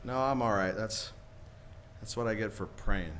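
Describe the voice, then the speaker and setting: A man's voice: fairly low pitch, moderate pace, a bit bright, slight background noise, close to an everyday voice. An actor playing a desperate, humble young family man in a radio play, pleading and stammering. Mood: dejected. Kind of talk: 1940s radio play acting.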